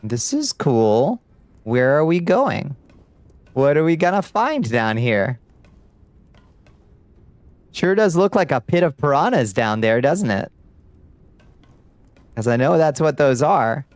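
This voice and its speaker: A man's voice: a little high, very animated, slow pace, pauses often, darkish tone, no echo. A middle-aged man with a light Georgia twang, chatting casually and wryly with his viewers while he plays a game. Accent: light Southern US twang, Georgia. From United States. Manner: laid-back drawl, wry, talks to chat while playing. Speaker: streamer. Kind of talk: casual live gameplay chat.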